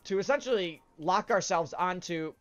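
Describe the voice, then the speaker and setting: A man's voice: high voice, expressive, moderate pace, fairly bright tone, muffled recording. A man with a stuffed-up nose from a cold, rambling through casual gameplay commentary as the host. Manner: chatty, rambling, frequent uhs and self-corrections. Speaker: let's play host.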